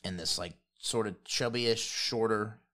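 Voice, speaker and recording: A man's voice: on the low side, unhurried, bright, forceful tone. A man narrating a tabletop game as game master in a casual, colloquial, loosely descriptive way.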